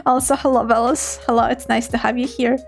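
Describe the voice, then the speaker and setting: A young woman streaming as a VTuber, chatting casually with her viewers and reading their messages in a giggly, playful, animated way. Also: on the high side, fairly quick, vocal fry.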